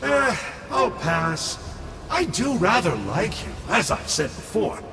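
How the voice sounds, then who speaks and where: An actor playing a smug, scheming male scholar who turns villain, with a theatrical, teasing, slow drawl. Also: high-pitched, big pitch swings, creaky, in a large hall, recorded from a distance, background noise, full character voice. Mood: sly.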